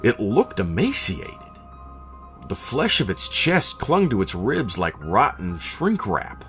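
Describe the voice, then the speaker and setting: A man reading a first-person horror story in a deliberate, theatrical way with dramatic pauses. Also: lowish voice, huge pitch swings, fairly bright tone. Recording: narration over background music. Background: eerie background music.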